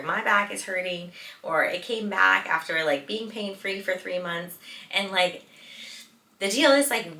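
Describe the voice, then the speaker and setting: A woman's voice: mid-range voice, bright, forceful tone. A woman in her late thirties hosting a solo podcast, talking informally and emphatically, repeating words for stress. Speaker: podcast host, physical therapist. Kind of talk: casual solo podcast talk. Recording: roomy echo, distant from mic.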